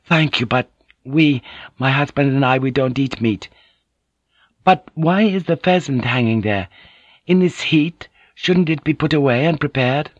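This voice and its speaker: A man's voice: medium pitch, expressive, a little slow, no room sound, dull audio, voice pushed up. A man voicing a polite, squeamish Japanese noblewoman who speaks courteously and hesitantly in an audiobook. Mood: polite, inquiring.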